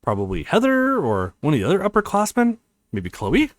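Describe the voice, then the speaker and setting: A man's voice: sits high, huge pitch swings, fairly quick, no echo. A man reading a visual novel's narration aloud as a let's play host. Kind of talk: dramatic reading of game dialogue and narration.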